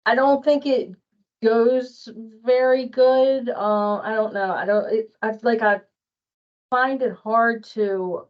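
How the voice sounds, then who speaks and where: Hesitant woman in a dull-sounding online meeting. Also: a little high. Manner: hesitant, repetitive, thinking aloud. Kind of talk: casual work meeting discussion. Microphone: online meeting call.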